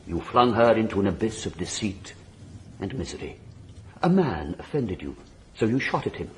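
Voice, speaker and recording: An actor playing a stern elder Freemason who moralizes gravely and formally, in a radio drama. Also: male voice, lowish voice, slight background noise. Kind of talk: British radio drama acting.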